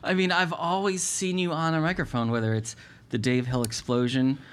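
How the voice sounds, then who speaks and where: A man's voice: a little high, moderate pace, slight background noise. A man hosting a comedy interview podcast, reading a playful scripted intro and then asking casual questions. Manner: playful, lively, jokey framing. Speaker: podcast host. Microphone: studio microphone with headphones.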